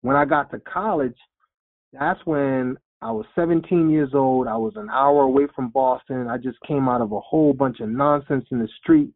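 A man in his forties telling his life story in an interview, animated and quoting himself in lively voices. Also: medium pitch, normal speed. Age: 40s. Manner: animated storyteller, acts out quotes. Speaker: guest, rapper. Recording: phone-like lo-fi sound.

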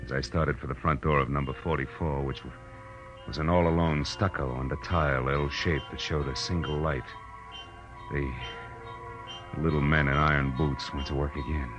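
A hard-boiled American gumshoe in an old radio drama, with a very low voice. He is cocky and sardonic, speaking in clipped, wry phrases. The recording is dull and vintage, with music behind it. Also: fairly even intonation, normal speed. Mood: brooding, wry narration. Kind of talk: 1940s radio noir acting, first-person narration and dialogue.

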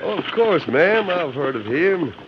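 An actor playing a steadfast, plain-spoken western hero in an old radio drama, with assured cowboy delivery. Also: male voice, fairly high pitch, very animated, unhurried, noisy background. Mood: folksy, easygoing. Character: steadfast masked western hero, posing as a folksy rancher. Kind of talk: 1940s-50s radio western acting. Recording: muffled vintage radio recording.

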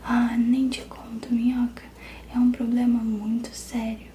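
As a woman whispers him, a young boy on the run speaks low and earnestly, always a little worried. The whisper is dark and hushed. It sounds in an echoey room. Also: monotone, measured pace, slight background noise, lightly acted. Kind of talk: whispered storybook reading.

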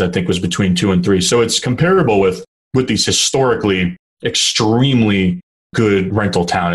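A young man with a deep, low voice talks through his ideas in a relaxed interview. He hedges and restarts often, pausing on fillers as he thinks. He grew up in New Hampshire. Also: breathy edge. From New Hampshire, USA. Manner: thoughtful, hedging with fillers and restarts. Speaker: real estate investor guest. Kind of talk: casual interview talk.

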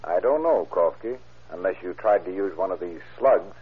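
Husky sardonic police sergeant, old radio. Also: male voice, deep voice, expressive, normal speed. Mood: triumphant, stern. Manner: dry, sardonic questioning. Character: shrewd, sardonic, unflappable male police sergeant. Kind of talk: 1940s radio crime drama acting. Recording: tinny, muffled old radio recording.

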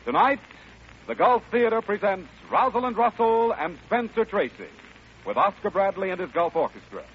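A man's voice: high-pitched, animated, moderate pace. A male announcer introducing a 1940s radio show in a grand, emphatic style. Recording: muffled, noisy vintage radio broadcast.

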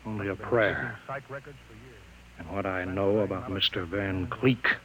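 A man's voice: low-pitched, fairly even intonation, a little slow, big-room sound, over a noisy crowd, low-quality recording. An actor playing a resolute, authoritative male chief who speaks tersely and urgently in an old radio drama. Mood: grave. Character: commanding, resolute male chief engineer leading his men. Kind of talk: 1950s radio drama acting.

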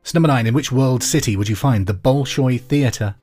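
A man hosting a pub quiz podcast, reading each question twice in a slow, clear way. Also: mid-range voice, a little mellow, soft background music. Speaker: quiz host.

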